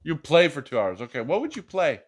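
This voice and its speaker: Very animated man reading a children's storybook. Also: a little high, fairly quick. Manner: playful, asking questions and echoing the child's answers. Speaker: children's storytime host reading a picture book aloud. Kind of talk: expressive read-aloud with chatty asides to a child.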